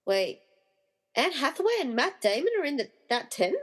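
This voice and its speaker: A woman playing an excitable, wry, slightly exasperated rescuer in a comedy radio play. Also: high-pitched, moderate pace. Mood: excited.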